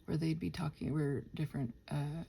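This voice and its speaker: Creaky-voiced woman muttering in a casual ramble. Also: low voice, fairly even intonation, normal speed, mellow. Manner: muttering, self-deprecating, trailing off and thinking aloud. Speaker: audio roleplay and ASMR creator. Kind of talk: casual rambling to listeners while working.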